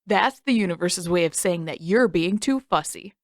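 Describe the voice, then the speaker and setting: A woman's voice: lowish voice, lively intonation, normal speed, dry recording, studio quality. A woman voicing a cocky, competitive teenage boy in a storybook, boastful and griping. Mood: smug.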